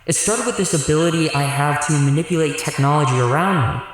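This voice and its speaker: A man's voice: on the high side, fast talker, natural voice. A man acting in an audio drama as an earnest, weary demon fighter who explains things patiently. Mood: explaining. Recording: very echoey, long hall reverb.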